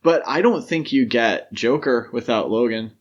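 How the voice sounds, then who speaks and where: A man speaks as a podcast host in an easygoing discussion format, with a middling pitch. He states opinions with emphasis and often stops to qualify what he has just said. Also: expressive, normal speed, dull audio. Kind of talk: casual film discussion.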